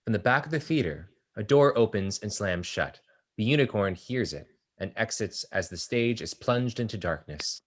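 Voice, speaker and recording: Deep-voiced man reading stage directions. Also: animated, normal speed. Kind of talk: table read narration.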